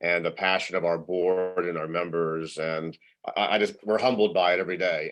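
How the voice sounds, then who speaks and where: A man's voice: deep voice, fairly bright tone. A man recovering from illness whose voice is partly gone, speaking earnestly on a video call and repeating words. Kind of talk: casual remarks on a video call.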